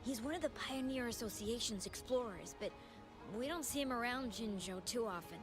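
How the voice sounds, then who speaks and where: An actress playing a flustered, eager young receptionist in a game. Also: female voice, fairly high pitch, animated, moderate pace, over music, close to an everyday voice. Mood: chatty.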